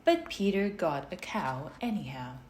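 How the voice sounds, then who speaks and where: A woman reading a children's story aloud in a deliberate, expressive way, drawing out the sound words. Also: lowish voice. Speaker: storybook reader. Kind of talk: slow children's read-aloud. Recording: roomy echo, faint noise.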